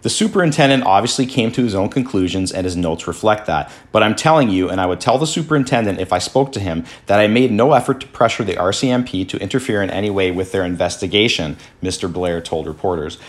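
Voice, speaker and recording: A man from Canada hosting a podcast, reading news articles aloud and commenting in a halting way full of fillers, his voice slightly worn. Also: on the low side. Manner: halting, many fillers and restarts. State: losing his voice. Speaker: podcast host.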